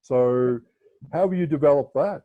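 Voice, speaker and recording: A man's voice: mid-range voice, normal speed, narrow, tinny audio. A man hosting and judging a speech club on a video call, encouraging and repeating himself as he talks. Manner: encouraging, repeats words, trails into restarts. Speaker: speech club host and judge. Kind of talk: casual meeting chat.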